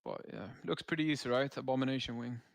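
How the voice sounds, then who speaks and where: Man, gravelly fry in the voice, laid-back raid narrator, dry close recording, explanatory. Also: medium pitch, fairly even intonation, fast, dry recording. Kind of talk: casual gameplay commentary.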